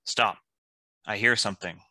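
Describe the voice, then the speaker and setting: Man playing a bright, punchy, kindly warrior hero. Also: lowish voice, normal speed, dry recording. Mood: alert. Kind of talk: animated fantasy table read acting.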